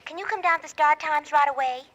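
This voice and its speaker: Eager girlish young assistant, bright and high. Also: quick pace. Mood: eager, pleading. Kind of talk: 1940s radio acting. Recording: dull vintage 1940s radio recording.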